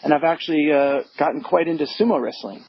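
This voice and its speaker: A man chatting casually, relaxed and pausing with small hesitations. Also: a little high, creaky, slightly rough. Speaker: guest in a casual chat. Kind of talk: casual chat. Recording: muffled lo-fi recording with background noise.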